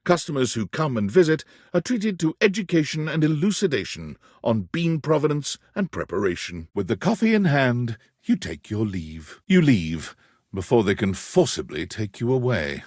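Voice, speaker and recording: A man's voice: medium pitch, big pitch swings, moderate pace, a little mellow, dry recording, studio quality. A man narrating a quirky romance video game in a dramatic, descriptive storytelling manner. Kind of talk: scripted game narration, second person.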